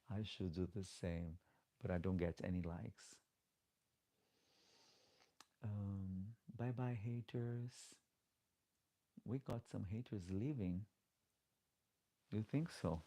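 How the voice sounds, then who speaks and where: A man's voice: lowish voice, slow, pauses often, warm tone. A man with a Portuguese-speaking accent, a drag queen out of drag, chatting casually on a late-night livestream and reading comments aloud. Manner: chatty, reads comments aloud, pleads for likes. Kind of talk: casual live chat.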